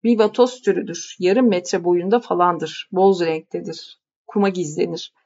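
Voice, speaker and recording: A woman's voice: fairly low pitch, steady tone, unhurried, a little mellow, dull audio. A woman voicing a friendly, talkative middle-aged village fisherman who explains things patiently. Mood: explaining. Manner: explains patiently, like reading from an encyclopedia.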